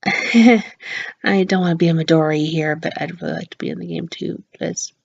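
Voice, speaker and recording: A woman hosting a casual visual novel playthrough, talking informally and enthusiastically with slangy, drawn-out emphasis. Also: fairly low pitch, fairly even intonation, creaky. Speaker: gaming channel host. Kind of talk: casual visual novel playthrough commentary, reading text aloud. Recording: dull lo-fi mic, music behind. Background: game music.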